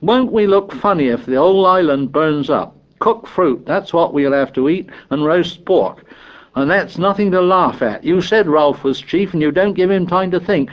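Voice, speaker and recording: A man's voice: sits high, animated, unhurried, highs cut off, voice pushed up. A man voicing an indignant, fearful schoolboy who scolds and pleads in ungrammatical working-class British speech in an audiobook story.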